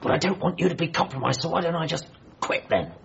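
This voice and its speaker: A man's voice: on the high side, animated, quick pace, creaky, a bit bright, husky, lo-fi sound, exaggerated voice. An actor playing an earnest, bereaved man, hesitant and apologetic, in a radio comedy drama. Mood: flustered. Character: bereaved, awkward, earnest adult son working as a nurse.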